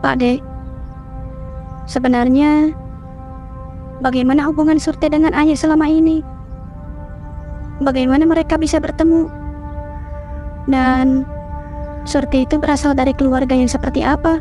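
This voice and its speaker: Anxious young wife in a horror cartoon, high and polite, faint music behind, voiced by a woman. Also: flat delivery, normal speed, long pauses, mellow, natural voice. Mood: worried.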